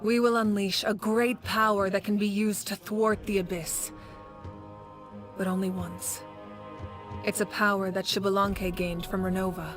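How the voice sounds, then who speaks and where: A woman acting as a battle-proven female leader from a video game, her voice sitting at a middle pitch. She sounds dignified and dutiful, with firm, decisive, formal phrasing. Music is clearly heard behind her. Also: flat delivery, measured pace, close to an everyday voice. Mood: firm, solemn.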